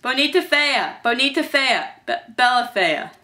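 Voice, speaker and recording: A woman's voice: high-pitched, sing-song, measured pace, bright, forceful tone, voice pushed up, full character voice. A woman voicing a dramatic, sarcastic young boy magician in a story. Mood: incanting.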